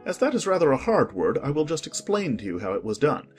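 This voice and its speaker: A man narrating a storybook in a lively, expressive manner. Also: on the high side, fast, faint music behind.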